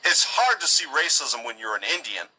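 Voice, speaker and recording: A man's voice: mid-range voice, very animated, moderate pace, bright, forceful tone, slightly rough, full character voice, low-quality recording. A man voicing a smug, preachy settler leader in a satirical cartoon, condescending and full of self-righteous pleading. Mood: accusing, indignant.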